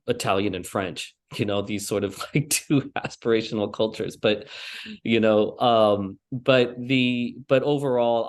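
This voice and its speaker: A man speaking as an interview guest in a relaxed, conversational way, sprinkling his talk with "you know" and short laughs. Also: on the low side.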